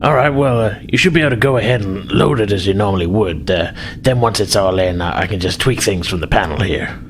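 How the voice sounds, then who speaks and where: A nervous, awkward engineer aboard a starship, played by a man in a tabletop roleplaying game. The voice is low, halting and stammering, evasive about bending rules, with clear background noise. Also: natural voice. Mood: cautiously helpful.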